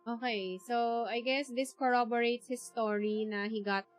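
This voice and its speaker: A woman's voice: fairly high pitch, steady tone, normal speed, faint music behind. A woman with a Filipino accent giving casual, chatty livestream game commentary, thinking aloud as she plays. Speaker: game streamer. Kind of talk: casual livestream gameplay commentary in mixed English and Tagalog.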